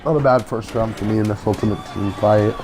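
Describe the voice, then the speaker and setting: A man's voice: on the low side, a little fast, over music. A man with a nasal, stuffed-up voice from a cold, rambling casually and profanely as he comments over a video game. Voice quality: nasal, congested. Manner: profane, informal, hesitant rambling. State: has a cold. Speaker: gaming video creator.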